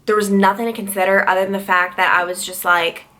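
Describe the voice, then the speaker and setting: Chatty bright-voiced young woman vlogger. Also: fast. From Long Island, New York. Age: 20s. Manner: chatty, lots of "like", pitch jumps high when excited. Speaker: TV news reporter and vlogger. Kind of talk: casual Q&A vlog.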